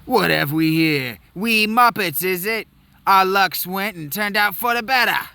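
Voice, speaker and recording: A gloating male thief barks at his lads in a shaky, overdone Cockney, as a man puts him on. The voice sits high, loud and bright, rising in lively swoops. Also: measured pace, creaky, clean tone, heavily acted. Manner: clumsy put-on Cockney, self-admittedly bad. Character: rough male thief, gloating bandit leader.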